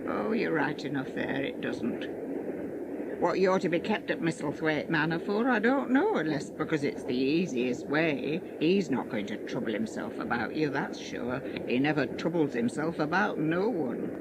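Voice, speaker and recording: An actress playing a brisk, blunt housekeeper with a hard voice in a dramatised audiobook, talking in a chatty way. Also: female voice, expressive. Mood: scornful, dismissive. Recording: vehicle rumble behind the voice.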